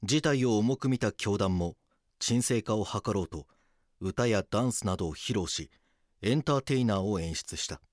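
An actor playing an earnest police detective who narrates his case in a grave, measured way for an audio drama. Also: fairly low pitch, lively intonation, dry recording, clean studio sound, natural voice. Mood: grave narration.

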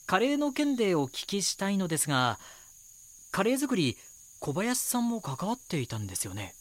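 A young male reporter in an audio drama. He is courteous and doggedly inquisitive, with a slightly high, animated voice. The sound is close and dry. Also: normal speed, no room sound. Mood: earnest, pondering. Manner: polite, inquisitive. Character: young male magazine reporter, polite, earnest, doggedly probing. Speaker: magazine reporter and first-person narrator. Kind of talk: Japanese audio drama dialogue and narration.

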